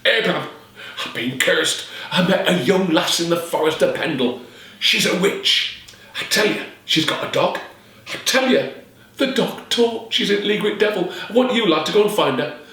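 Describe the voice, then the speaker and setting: Storyteller man voicing a shrill, panicked peddler, very echoey room, mic far off. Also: big pitch swings, normal speed, hardly any pauses, bright, forceful tone. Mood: frantic. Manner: shrieking, frantic. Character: terrified cursed middle-aged male peddler, gruff and shrill.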